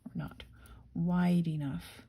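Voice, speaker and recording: Lowish voiced lady doing a craft tutorial, chatting slowly to herself, bit of background noise. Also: steady tone, mellow. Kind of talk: casual craft-along narration, thinking aloud.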